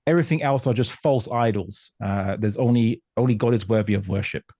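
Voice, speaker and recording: A man from Portugal speaking as a guest commentator in an explanatory discussion, emphatic and halting, often repeating words. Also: on the low side, mellow, dry recording, studio quality. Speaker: guest commentator on religion and politics.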